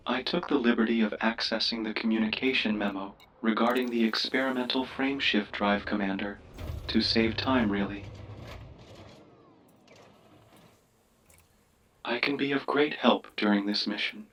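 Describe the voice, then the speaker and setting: A man voicing a polite, cautious starship AI in a sci-fi game, speaking in a formal, deferential, measured way. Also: fairly low pitch, fairly even intonation, fairly bright tone, far from the mic, slight background noise. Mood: courteous. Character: polite, cautious male ship computer AI, formal and curious. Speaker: ship's computer voice assistant. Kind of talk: scripted sci-fi game voice pack.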